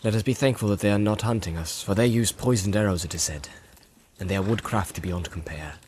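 A man voicing a stern, weary male marshal of riders who speaks in a grave, hushed, old-fashioned way in a storybook reading. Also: lowish voice, fairly quick, a little hiss or hum, close to an everyday voice.

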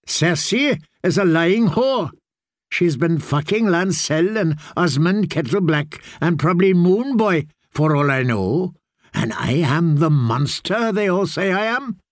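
A man's voice: sits high, animated, unhurried, dry recording, studio quality, voice pushed up. A man voicing a bitter, spiteful dwarf who snarls his words with malice. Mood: snarling. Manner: snarling, venomous.

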